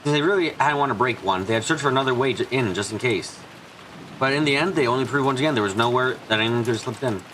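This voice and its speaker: A man reads a visual novel story aloud at a quick clip. His pitch is medium, and there is faint noise behind the voice. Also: fairly bright tone, rough recording. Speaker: fan dub reader and narrator. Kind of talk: read-aloud game narration.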